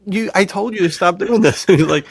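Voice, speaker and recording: Dude with lots of vocal fry, slangy podcast cohost, halting casual stories, dry close sound. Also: male voice, high voice, no echo. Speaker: podcast co-host. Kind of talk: casual chat, storytelling.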